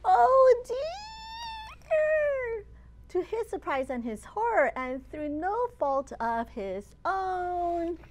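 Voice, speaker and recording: A woman in her thirties, a children's librarian hosting storytime, reading aloud expressively and deliberately with dramatic emphasis for young children. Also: very high-pitched, big pitch swings. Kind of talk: animated read-aloud of a picture book for young children. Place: open library children's area, indoors.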